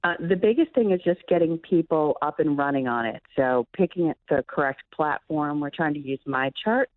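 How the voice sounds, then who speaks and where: A woman's voice: sits low, lively intonation, moderate pace, no echo. A woman physician giving explanatory answers as an interview guest over a phone-like line.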